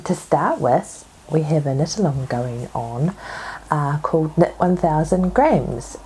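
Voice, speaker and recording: A woman with a cold and a husky voice, chatting casually as host of her own podcast. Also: lowish voice, a little hiss or hum, rough recording. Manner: chatty, rambling, soft asides. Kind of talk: casual chat. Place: home.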